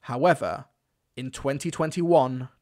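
A man's voice: medium pitch, a little slow, darkish tone, slight background noise. A man giving opinionated, semi-scripted review commentary, leaning on key words for emphasis. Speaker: video reviewer.

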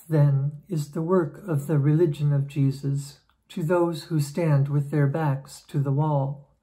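A woman in her sixties reading aloud from a religious book in a measured, solemn way, in a room at home. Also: sits low, dark tone, low-quality recording. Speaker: lay reader.

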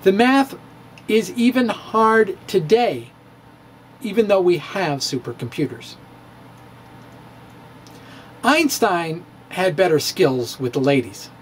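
A man's voice: sits high, animated, slight background noise. Theatrical man reading his own blog. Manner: theatrical, playful, with pauses and dips. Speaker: physics blogger. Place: home room with bookshelves. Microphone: studio mic in front of him.

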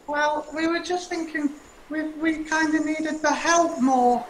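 A timid little puppet voiced by a woman from a children's show. It speaks in a high, childlike voice with polite, hesitant phrasing. The room echoes and there is faint noise behind it. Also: fairly even intonation, unhurried. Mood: nervous. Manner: hesitant, polite, wide-eyed. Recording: roomy, noisy home recording.

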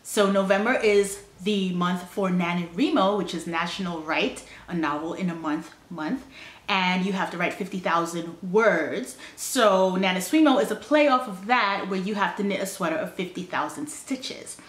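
A woman's voice: lowish voice, moderate pace, reverberant. A young woman hosting a knitting video podcast, chatting to the camera in an upbeat way, with many fillers and restarts, recorded at home. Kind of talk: casual chat to camera. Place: small indoor room at home.

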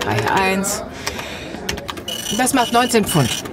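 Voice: mid-range voice, big pitch swings, takes it slowly, noisy background. A performer playing an indifferent, curt cinema ticket clerk in a German audio drama.

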